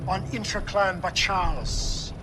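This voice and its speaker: An actor playing a newly appointed war leader giving a formal, measured, oratorical address to clan khans in a game cutscene. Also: male voice, high-pitched, heavy background noise. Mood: solemn, commanding. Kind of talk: formal speech, scripted game cutscene acting.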